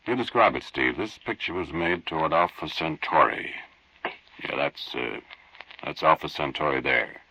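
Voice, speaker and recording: A man's voice: a bass voice, lively intonation, normal speed, vocal fry, pushed and bright, slight background noise, exaggerated voice, poor audio. An actor playing a grave, insistent man who warns of an alien invasion in an old radio drama. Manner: intense, insistent, ominous. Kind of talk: 1940s radio drama acting.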